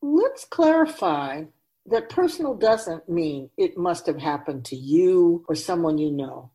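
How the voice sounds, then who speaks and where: A woman acts out an otherworldly keeper of souls for radio drama, wise and oracular. The voice sits on the low side and swings widely in pitch, stressing each teaching and posing rhetorical questions. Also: very animated, a little slow, dark tone. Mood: earnest, urging. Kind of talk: radio drama dialogue, lecturing.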